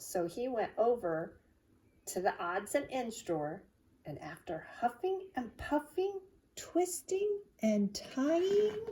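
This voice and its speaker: A woman's voice: lowish voice, mellow. A woman reading a children's storybook aloud expressively, drawing out key words to engage young listeners. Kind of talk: expressive read-aloud narration.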